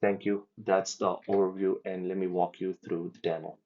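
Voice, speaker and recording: A man explaining and demoing his software project in a casual walkthrough, hesitating with ums and restarts as he goes. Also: low-pitched, flat delivery, normal speed, phone-quality sound. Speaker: developer giving a project demo. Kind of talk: casual screen-share demo walkthrough.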